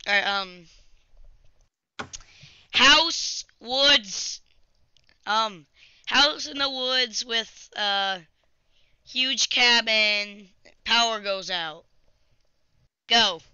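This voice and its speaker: A young gamer hosting a casual video, chatting and improvising a story in a halting, rambling way. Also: mid-range voice, pushed and bright, clean tone, no room sound. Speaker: video host and player. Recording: dull lo-fi webcam-grade audio.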